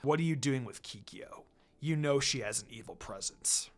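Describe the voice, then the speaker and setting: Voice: medium pitch, normal speed, pitched below the performer's own voice, lightly acted. A reader voicing a stern, protective demon lord and older brother in an amateur story reading. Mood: grave, probing.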